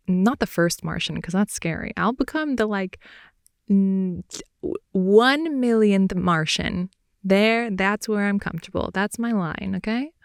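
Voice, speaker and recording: A woman's voice: mid-range voice, creaky, no room sound, studio quality. A young woman playing a goofy, clingy girlfriend who rambles and teases at bedtime in an intimate, improvised ASMR roleplay. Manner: rambling, giggly, teasing, with hushed asides. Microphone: close mic, dry.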